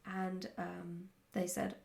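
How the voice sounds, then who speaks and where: Creaky-voiced woman chatting candidly. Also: fairly low pitch, completely flat delivery, takes it slowly, darkish tone. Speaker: writer answering follower questions. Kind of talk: casual chatty Q&A vlog.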